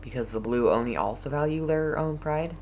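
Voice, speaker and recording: A man's voice: a little high, a little fast, darkish tone, a little hiss or hum. A man giving short, casual reactions as he plays through a story game. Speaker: gamer playing along.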